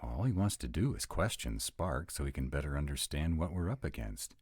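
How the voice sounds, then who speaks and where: A man's voice: extremely low pitch, expressive, fairly quick, a little mellow, husky, no echo, clean studio sound, heavily acted. Man acting as earnest young vampire, swooping intonation. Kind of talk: roleplay audio drama acting.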